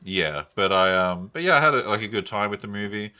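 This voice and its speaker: A man's voice: on the low side, lively intonation, a little fast, bright, forceful tone, smooth. A man with an Australian accent co-hosting a podcast in a casual, rambling way, often saying "like". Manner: rambling, hedging, heavy use of "like". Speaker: podcast co-host. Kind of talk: casual pop-culture podcast chat.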